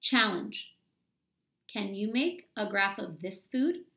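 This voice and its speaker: A woman's voice: mid-range voice, lively intonation, takes it slowly, pushed and bright. A woman reads aloud to children as a teacher, explaining step by step and putting questions to them. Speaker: elementary school teacher reading aloud. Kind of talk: instructional read-aloud for children. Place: small room at home, indoors.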